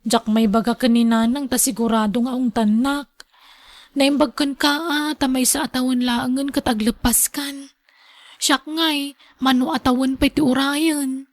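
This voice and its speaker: A woman's voice: sits high, steady tone, measured pace, no room sound, studio quality. A woman with a Filipino accent playing an anxious, plaintive young student in a radio drama. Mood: reluctant, pleading. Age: young adult. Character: anxious, pleading young female student, secretly pregnant.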